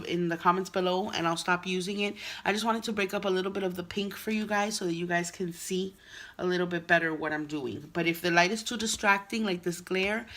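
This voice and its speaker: A woman's voice: mid-range voice, a bit bright. A woman hosting a card-making tutorial, chatty and explaining each step, sounding nasal from a cold. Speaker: craft channel host.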